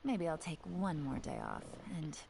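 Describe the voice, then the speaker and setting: A woman's voice: deep voice, wildly swooping pitch, normal speed, gravelly, mellow, slight background noise, exaggerated voice. A woman playing an easygoing, casually musing character in video game dialogue.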